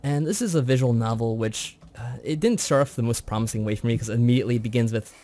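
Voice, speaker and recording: A man's voice: medium pitch, fast, mellow. Man chatting through a casual review. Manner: chatty, informal. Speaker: co-host of a visual novel review show. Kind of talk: casual review talk, recapping a story.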